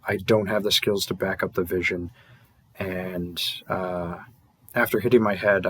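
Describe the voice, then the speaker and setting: A man's voice: low voice, fairly bright tone, breathy edge, no echo. A man who makes audio roleplays, talking casually and off the cuff to listeners in an earnest, hesitant way with trailing asides. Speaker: audio roleplay creator and performer. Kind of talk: casual, unscripted thank-you to listeners.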